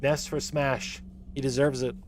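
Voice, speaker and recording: A man's voice: medium pitch, level tone, unhurried, a little hiss or hum. Laid-back man rambling on a livestream. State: voice getting worn. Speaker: streamer reacting to a game presentation. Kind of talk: casual livestream chat.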